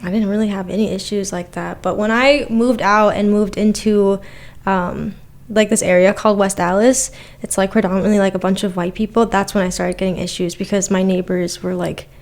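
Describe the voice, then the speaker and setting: A woman's voice: mid-range voice, fairly even intonation, brisk. A young woman from Milwaukee, Wisconsin, a podcast guest talking casually and rambling with lots of fillers. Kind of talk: casual conversation.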